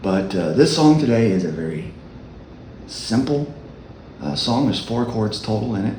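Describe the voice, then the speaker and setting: A man with a low voice giving a relaxed, halting dulcimer lesson as a worship leader. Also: roomy sound, slight background noise. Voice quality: low speaking voice. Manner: unhurried, halting explanation. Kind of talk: casual music tutorial.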